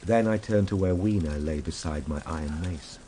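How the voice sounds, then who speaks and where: Actor as a very deep-voiced, grave time traveler. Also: male voice, normal speed, slight background noise, lightly acted. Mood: grave, tense. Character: male time traveler recounting his ordeal, grave and weary. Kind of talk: dramatic first-person radio drama narration. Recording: radio drama over loud background ambience.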